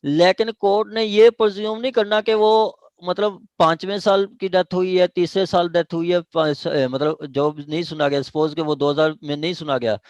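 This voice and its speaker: A man's voice: sits high, steady tone, moderate pace, hardly any pauses, no room sound. A Pakistani man giving an online law lecture, repeating his points, checking understanding and mixing in English legal terms. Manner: switches between Urdu and English legal terms. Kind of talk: online lecture explaining a statute. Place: online video meeting.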